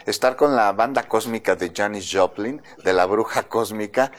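A man's voice: on the low side, normal speed. A man with a Mexican accent hosting a conversational broadcast interview, addressing guests respectfully and chiming in with interjections. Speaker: interviewer, host.

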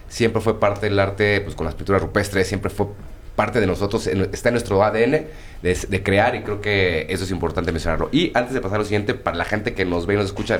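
A man co-hosting a radio talk show, talking casually and often repeating words as he thinks. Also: on the low side.

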